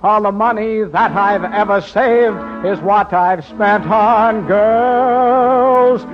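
A man's voice: sits high, takes it slowly. An actor playing a breezy, girl-chasing bachelor sidekick in a vintage radio musical comedy. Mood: jaunty singing. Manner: breezy, joking, theatrical. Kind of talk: 1940s-50s radio musical comedy acting. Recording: dull old radio recording, music behind.

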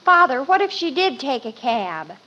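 Very high-voiced dramatic teenage girl. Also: big pitch swings, moderate pace, sharp tone, lo-fi sound, exaggerated voice. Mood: earnest. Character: dramatic teenage daughter, earnest and easily mortified. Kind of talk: 1950s radio family comedy acting.

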